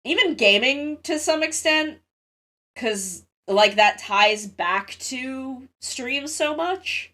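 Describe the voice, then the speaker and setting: A woman's voice: high voice, lively intonation, slow, pushed and bright. A woman with a congested, sniffly nose chats casually to livestream viewers, rambling, interrupting herself and swearing freely.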